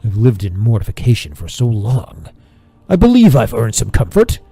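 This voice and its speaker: A man's voice: lowish voice, huge pitch swings, normal speed, gravelly, mellow, husky, faint music behind, exaggerated voice. A man playing a scheming, self-righteous friar who speaks in pious, formal words for an audio drama. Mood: smug, self-righteous.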